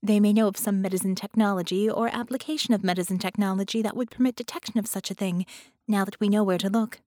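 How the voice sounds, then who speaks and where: A woman's voice: medium pitch, fairly even intonation, fast talker, mellow, no echo, clean studio sound, close to an everyday voice. A woman voicing a helpful artificial intelligence in an audiobook, with formal, factual, precise delivery. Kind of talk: audiobook character voice.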